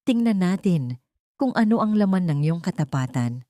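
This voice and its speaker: A woman with a Filipino accent voicing a suspicious, menacing old rich matriarch in an audio story, cold and deliberate. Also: fairly low pitch, big pitch swings, mellow, no echo, studio quality. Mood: cold suspicion.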